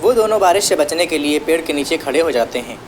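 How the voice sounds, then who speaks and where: धीमे, नीचे सुर वाली महिला कथावाचक. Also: female voice, sits low, lively intonation, quick pace, a little mellow, slight background noise. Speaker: storyteller. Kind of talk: scripted children's story narration.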